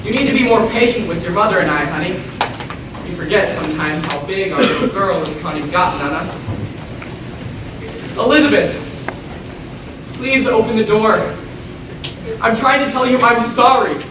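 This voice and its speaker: A very high-voiced, folksy father coaxes and apologizes on stage, played by a man. He sounds distant in a strongly echoing hall, with clear noise around him. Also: moderate pace, long pauses, natural voice. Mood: coaxing, apologetic. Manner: coaxing, folksy. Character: coaxing, apologetic small-town father of grown daughter. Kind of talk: stage play acting. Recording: echoey hall from far off, noisy.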